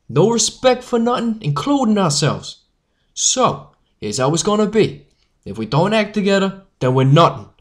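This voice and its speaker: A scrappy young newsboy leader from a storybook, acted out by a woman narrator. The delivery is slangy and emphatic, swinging widely in pitch. The character comes across as fiery and protective.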